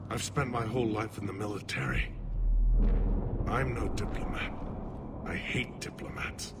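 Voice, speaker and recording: An actor playing a proud alien general in a video game, a lifelong soldier and reluctant leader, speaking gravely in clipped military phrases. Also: male voice, fairly low pitch, a little slow, very noisy, close to an everyday voice. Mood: grave, reflective. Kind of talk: video game cutscene acting. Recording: loud battlefield ambience behind.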